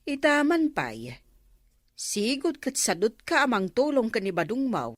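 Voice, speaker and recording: A woman's voice: fairly high pitch, sing-song, unhurried, no echo. An actress playing a blunt, teasing village woman in a comedy radio drama. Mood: sardonic, teasing.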